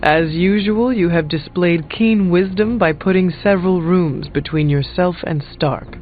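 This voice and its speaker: A man playing a calm, candid counselor and loyal friend in a video game, speaking formally and earnestly in measured phrases. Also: sits high, narrow, tinny audio, faint music behind. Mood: calm, wry.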